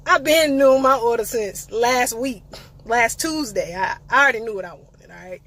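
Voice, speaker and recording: Young woman, lively sassy vlog storyteller. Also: fairly high pitch, moderate pace. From eastern North Carolina. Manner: animated, playful storyteller with sassy asides. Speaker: vlogger.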